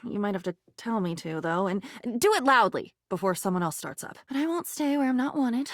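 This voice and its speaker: A woman's voice: mid-range voice, expressive, fairly quick, dry recording, clean studio sound, close to an everyday voice. A woman playing an anxious, insecure living diary in a video game, morbid and erratic, with fragmented, theatrical delivery that veers between muttering and outbursts. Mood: uneasy, brooding.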